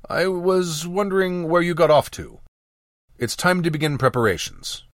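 A man's voice: mid-range voice, big pitch swings, normal speed, fairly bright tone, no room sound, studio quality, pitched above the performer's own voice. A man voicing an evasive mage teacher in a fantasy audiobook, persuading in a reasoning, lecturing manner. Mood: flustered.

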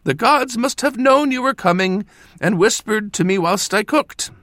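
A man voicing an older, warm, effusive farm mother who exclaims with joy. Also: sits high, expressive, moderate pace, dry recording, pitched above the performer's own voice. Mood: gushing.

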